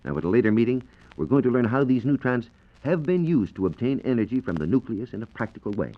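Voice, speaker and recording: American man, 1940s radio science explainer, precise, didactic, animated intonation, muffled vintage recording. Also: mid-range voice, fast talker. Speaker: science reporter.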